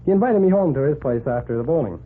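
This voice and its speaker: An actor playing a brash, eager newspaper reporter with breezy slang, in 1940s radio drama style. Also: male voice, fairly high pitch, lively intonation, quick pace, close to an everyday voice. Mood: narrating. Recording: noisy, phone-like 1940s radio recording.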